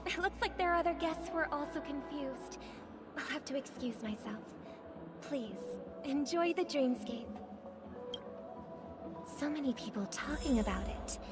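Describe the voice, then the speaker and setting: Game casting: high female voice, courteous celebrity singer, measured and soothing, music playing prominently behind. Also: lively intonation. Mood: gracious. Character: poised, courteous famous songstress soothing anxious guests. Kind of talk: video game voice acting.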